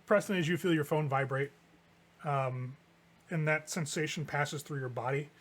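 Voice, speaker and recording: A man's voice: a little high, normal speed. A man narrating a tabletop horror game as game master, improvising in a casual, conversational way.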